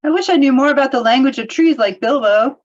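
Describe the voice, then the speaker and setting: A woman's voice: sits high, quick pace, dull audio. A woman playing a thoughtful, cautious young hobbit in a script table read, earnest and questioning.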